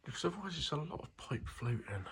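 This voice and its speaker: A man's voice: fairly high pitch, sing-song, quick pace, a little hiss or hum. A man, a plumber, talking casually to camera as he works, chatty and self-mocking, nasal and bunged up from a cold. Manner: chatty, self-mocking, mutters while working. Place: indoors at a hot water cylinder in a home. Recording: loud background music.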